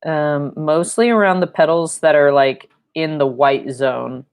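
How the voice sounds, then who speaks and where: A young woman with a low voice, leading a live-stream painting lesson at home, chatty and rambling with fillers, recorded by a fixed camera mic in a room. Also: unhurried, clear, resonant tone. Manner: rambling and chatty, with fillers and playful sound effects. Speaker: painting instructor. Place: home studio room, indoors.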